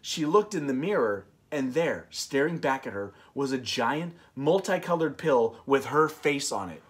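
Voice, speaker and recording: A man in his thirties narrates a children's storybook with animated, varied intonation. His mid-pitched voice moves between expressive reading and casual chat. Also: unhurried. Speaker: co-host of a children's read-aloud show. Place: small indoor room, on a couch.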